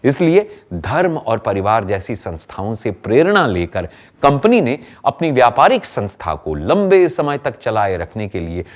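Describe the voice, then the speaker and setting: A man's voice: mid-range voice, sing-song, a little slow, few pauses. A man narrating a training film in a scripted, explanatory style, emphatic and fond of rhetorical questions.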